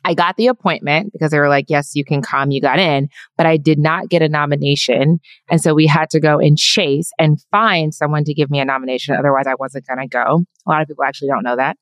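Low-voiced woman guest, German-born. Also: fairly even intonation, fast talker, hardly any pauses, no echo. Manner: matter-of-fact, wry storytelling. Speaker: podcast guest. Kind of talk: casual interview chat.

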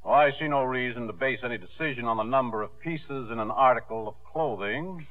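An actor playing a fair-minded, dryly amused courtroom judge in a 1950s radio drama. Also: male voice, mid-range voice, a little fast, natural voice. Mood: measured, dry. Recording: muffled old 1950s radio broadcast.

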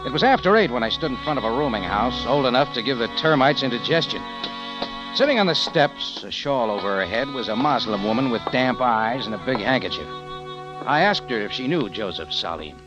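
An actor playing a tough, wry American adventurer with a terse hard-boiled delivery, in 1940s radio drama style, on a dull old recording. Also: male voice, medium pitch, lively intonation, brisk, over music, close to an everyday voice. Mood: wry narration. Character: tough, wry American adventurer narrating his own case.

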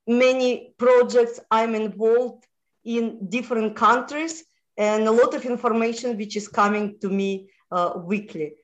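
A guest woman speaks, her voice pitched a little high. She talks haltingly, with frequent pauses and fillers as she searches for English words. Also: highs cut off. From Moldova. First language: Russian. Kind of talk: casual interview answers.

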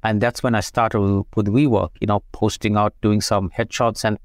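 Lower-voiced South African man telling his story. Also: little pitch movement, normal speed, dry recording, clean studio sound. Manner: conversational, many fillers and repeated words. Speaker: guest, photographer and business owner. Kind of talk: casual interview storytelling.

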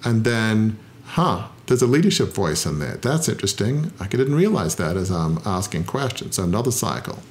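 Thoughtful low-voiced middle-aged man. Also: sing-song, slight background noise. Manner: thoughtful, self-deprecating, frequent "uh" pauses. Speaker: founder and interview guest. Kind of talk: reflective interview conversation.

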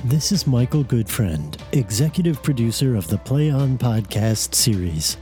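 A man reads a scripted promotion for the show in an upbeat, brisk delivery. His tone is mellow and warm, with faint music playing underneath. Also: mid-range voice, big pitch swings. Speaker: executive producer.

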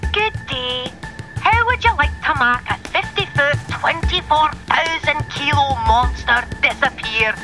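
A woman's voice: high voice, expressive, a little slow, over loud music. An actress playing a bold, goading woman caller leaving a message in an audio drama. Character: bold, brisk Scottish woman caller offering a strange job.

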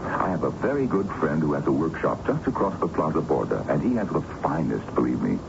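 Colonel, middle-aged, domineering radio-drama role; rock-bottom pitch, very animated delivery, muffled old broadcast. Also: male voice, fairly quick, vocal fry, background noise, exaggerated voice. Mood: genial, bossy. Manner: overbearing, talkative, take-charge. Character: domineering middle-aged American colonel, genial yet menacing. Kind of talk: 1970s radio drama acting.

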